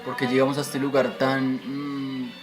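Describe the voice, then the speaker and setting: A man's voice: medium pitch, little pitch movement, measured pace, over music, lightly acted. A man playing a reluctant, sceptical young son who questions things in an amateur radio drama. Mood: puzzled, curious.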